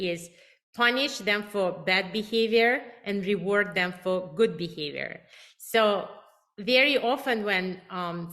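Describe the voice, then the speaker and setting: A relationship coach speaks with a clear Russian accent, her voice sitting at a middle pitch for a woman. She talks with eager emphasis, selling her methods directly to the viewer. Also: fairly bright tone. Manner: emphatic, enthusiastic, self-promoting. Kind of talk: direct-to-camera advice talk.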